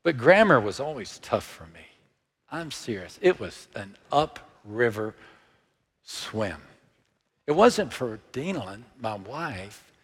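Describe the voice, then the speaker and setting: A man in his sixties with a Texan accent, preaching a sermon in a slow, measured way that sinks to hushed, near-whispered lines. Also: mid-range voice, very animated, husky, big-room sound. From Texas. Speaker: pastor.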